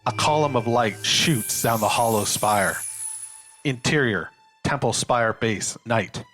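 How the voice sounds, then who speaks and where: A man's voice: medium pitch, slow, fairly bright tone, soft background music. A man giving scripted narration of a screenplay, reading scene headings and action as a narrator.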